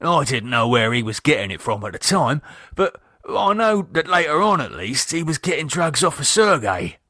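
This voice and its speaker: A man voicing an anxious working-class husband, speaking in nervous, halting, pleading bursts. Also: on the high side, sing-song, bright, forceful tone, dry recording, clean studio sound, pitched above the performer's own voice, exaggerated voice. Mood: worried.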